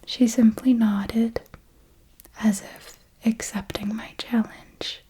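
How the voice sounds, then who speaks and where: A woman playing an ancient, refined female vampire mentor in an ASMR roleplay, telling her story in a deliberate, theatrical and elegant manner. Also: on the high side, fairly even intonation, background noise. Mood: wistful, elegant menace. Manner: slow, deliberate, theatrical, elegant old-fashioned phrasing.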